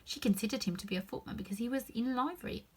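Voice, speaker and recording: A woman reading a children's story aloud in an expressive narrating style. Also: on the high side, fast, slightly rough. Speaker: storybook reader.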